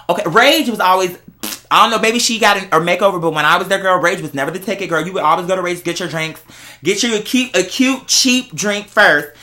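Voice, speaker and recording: A man's voice: high voice, bright, forceful tone. A young American man giving a casual, rambling monologue in a sassy, campy manner, peppered with slang. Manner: sassy, campy slang, often addressing listener as girl. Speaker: online show host.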